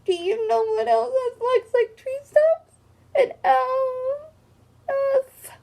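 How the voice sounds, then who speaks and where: Shrill, wailing, timid girl hippo. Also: fairly even intonation, creaky, a little mellow, exaggerated voice. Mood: wailing, hurt. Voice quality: shrill, sobbing wail. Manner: drawn-out, tearful delivery. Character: timid, weepy female hippo who wails when insulted. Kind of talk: children's storybook read-aloud.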